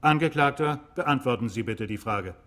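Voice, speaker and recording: An actor playing an authoritative presiding judge in a radio drama, formal and composed. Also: male voice, medium pitch, animated, moderate pace, fairly bright tone. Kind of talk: German radio drama acting.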